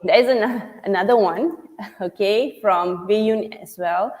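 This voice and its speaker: A woman moderating a conference Q&A session in a brisk, agreeable way. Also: mid-range voice, darkish tone. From Malaysia. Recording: long reverb.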